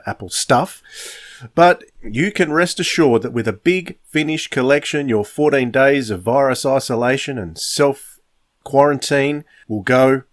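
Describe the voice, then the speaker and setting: Man, Australian accent, podcast host, casual solo chat, mid-range voice. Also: mid-range voice.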